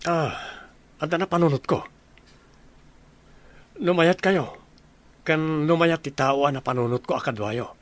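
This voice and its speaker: A man's voice: fairly high pitch, unhurried, long pauses, lightly acted. A man playing an earnest, dutiful grown son in a radio drama, with an Ilocano accent.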